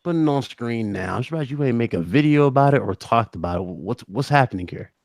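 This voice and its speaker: Male livestream host, mid-pitched and dry-sounding, informal gaming commentary with a teasing edge. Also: animated, fast talker, darkish tone, no room sound. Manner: informal, teasing, addresses co-hosts and chat. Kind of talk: casual live-stream chat and news commentary.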